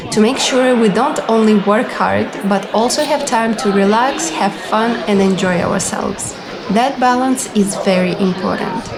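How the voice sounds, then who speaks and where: A young woman reading scripted travel vlog narration in a clear, orderly, explanatory manner. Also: mid-range voice, background noise. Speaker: vlogger and cruise ship receptionist. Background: background music.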